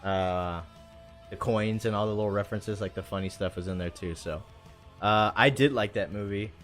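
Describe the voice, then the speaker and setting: A man's voice: lowish voice, normal speed, soft background music. A man hosting a casual, rambling chat show, talking in an informal, slangy way. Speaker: show host. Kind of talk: casual chat about video game movies.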